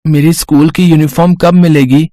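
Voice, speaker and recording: Woman as an earnest, mellow-voiced schoolboy. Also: low voice, steady tone, moderate pace, no echo, lightly acted. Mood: eager. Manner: earnest, respectful. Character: eager, polite poor schoolboy devoted to his studies.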